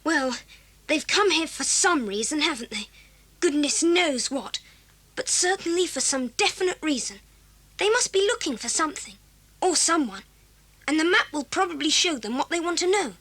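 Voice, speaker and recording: A woman's voice: high-pitched, animated, moderate pace, a bit bright. A woman playing a plucky, practical schoolboy with an eager, earnest way of talking, in a 1980s children's audio drama.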